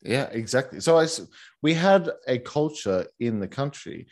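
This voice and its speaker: A man's voice: mid-range voice, lively intonation, normal speed, darkish tone. A man, a guest in an interview, talking casually and rambling with many fillers and restarts. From Australia. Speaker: guest, massage teacher for couples.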